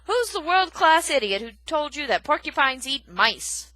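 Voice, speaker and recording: A woman voicing a grumpy, loud old male porcupine who blusters and shouts in a storybook reading. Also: high-pitched, sing-song, normal speed, dry recording, pitched above the performer's own voice, heavily acted. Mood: scornful.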